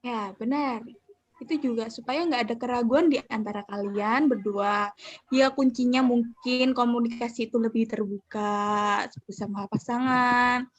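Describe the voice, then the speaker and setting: A woman playing a calm 35-year-old counselor who leads a group session on a video call and reassures the members. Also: fairly high pitch, a little slow, no room sound. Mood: steady. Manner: gentle, reassuring, with polite fillers. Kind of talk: student counseling roleplay. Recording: dull, dry video-call audio.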